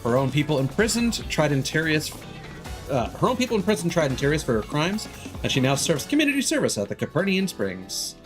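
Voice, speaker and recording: A man's voice: on the high side, sing-song, brisk. A man streaming a game, reading its text aloud and adding informal, wry commentary. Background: game music.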